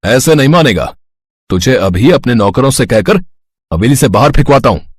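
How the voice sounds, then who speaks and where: A harsh middle-aged village landlord , voiced by a man in a cartoon story, scolding in a husky, arrogant tone. His voice sits at an ordinary male pitch. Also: lively intonation, normal speed, dry recording, studio quality. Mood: scornful.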